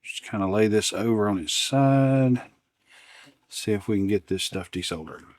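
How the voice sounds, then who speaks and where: A man's voice: lowish voice, moderate pace, darkish tone, no room sound, clean studio sound. A man hosting a repair demonstration, talking casually and unscripted, partly to himself as he works at a bench. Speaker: repair channel host. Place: indoors at an electronics workbench.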